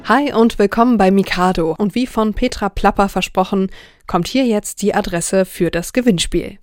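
A woman's voice: medium pitch, normal speed, darkish tone, faint music behind. A woman hosting children's radio, giving an informal scripted announcement.

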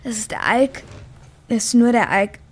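A woman's voice: on the high side, moderate pace, natural voice. An actress playing a troubled, hard-drinking young woman in a German radio drama. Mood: apologetic, vulnerable.

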